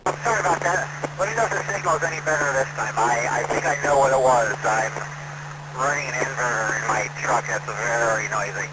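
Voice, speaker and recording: A man's voice: medium pitch, fairly quick. American man over very noisy two-way radio. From Florida, USA. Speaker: amateur radio operator. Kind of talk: casual ham radio chat. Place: in a truck. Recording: very noisy mobile radio signal, inverter hum.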